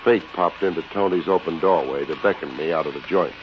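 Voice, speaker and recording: An actor playing a wisecracking, deadpan private investigator with an American accent, in the style of fifties radio detective drama. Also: male voice, low voice, fairly quick, noisy background, lightly acted. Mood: wry narration. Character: wry, hard-boiled male private investigator. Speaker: radio detective lead and narrator. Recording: dull vintage radio recording, music behind.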